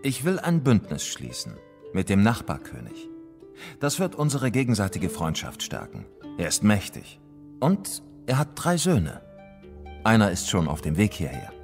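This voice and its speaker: A deep, animated grieving king. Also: measured pace, soft background music, heavily acted. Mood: resolved. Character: grieving widowed fairy-tale king, sorrowful and proud. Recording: long hall reverb.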